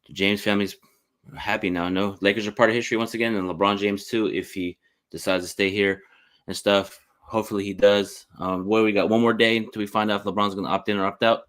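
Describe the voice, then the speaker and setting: Male sports podcast host, deep voice, quick delivery, casual and agreeable. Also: little pitch movement, a bit bright. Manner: informal, agreeable, peppers talk with "man".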